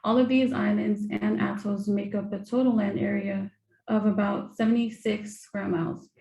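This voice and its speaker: A young woman from Samoa, a graduate student, giving a formal academic presentation in a careful, measured way. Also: mid-range voice, level tone, creaky, mellow. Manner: careful, measured delivery with occasional ums. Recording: dull, roomy recording.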